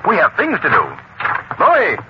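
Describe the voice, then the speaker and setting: An actor playing a confident, kindly gentleman hero, articulate and theatrical in 1940s radio drama style. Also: mid-range voice, sing-song, normal speed, natural voice. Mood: brisk, jovial. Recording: muffled, hissy old radio broadcast.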